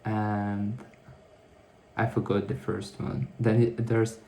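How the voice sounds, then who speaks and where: A man with a low, gravelly voice rambles through a casual diary-style vlog, often stopping to correct himself. His tone is dark and mellow, and the echo of a bare room hangs around his words. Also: little pitch movement, takes it slowly, slight background noise. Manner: rambling, self-correcting, thinking aloud. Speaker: vlogger. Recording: echoey room.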